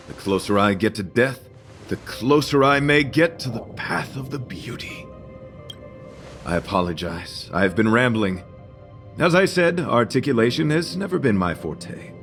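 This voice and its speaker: Game knight: noble, solemn and courtly, unhurried with sweeping intonation, music underneath. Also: male voice, medium pitch, a bit bright. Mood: fervent resolve, then courteous, sincere. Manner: formal, florid, theatrical, solemn. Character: earnest, courtly male knight devoted to beauty. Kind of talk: English game dub acting. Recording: music behind.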